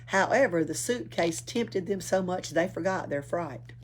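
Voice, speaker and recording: A woman's voice: on the low side, animated, measured pace. A woman reading a children's story aloud as a library storyteller. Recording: faint background noise, room ambience.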